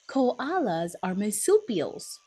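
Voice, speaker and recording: A woman voicing a wise old wombat in a children's storybook, explaining things in a measured, patient way. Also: mid-range voice, sing-song, mellow, breathy edge, exaggerated voice. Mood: patient, informative.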